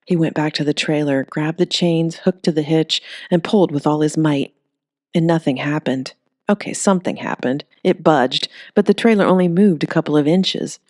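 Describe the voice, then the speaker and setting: A woman reads a creature story aloud in an even, steady voice. Her pitch sits low for a woman. The recording is close and dry, with no room sound. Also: moderate pace, dry recording. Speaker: audiobook narrator. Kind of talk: scripted storybook narration.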